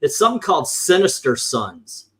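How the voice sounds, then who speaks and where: A man's voice: on the high side, sing-song, normal speed. A man reviewing comic books in casual, opinionated panel chat, rambling and swearing, acting out his exasperated reactions.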